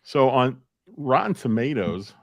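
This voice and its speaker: A man's voice: medium pitch, big pitch swings, measured pace, no room sound. An American man co-hosting a podcast, chatting casually with a group. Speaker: podcast co-host.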